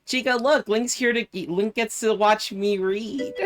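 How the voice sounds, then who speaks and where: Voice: medium pitch, slow. A streamer chatting casually during a game stream, playful and teasing, with faint music behind. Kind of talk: casual gaming stream chat.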